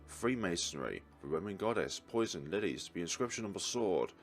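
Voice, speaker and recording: A man's voice: low-pitched, animated, brisk, soft background music. A man reading visual novel narration aloud as a let's player, theatrical with varied emphasis and occasional stumbles. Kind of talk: dramatic reading of game narration.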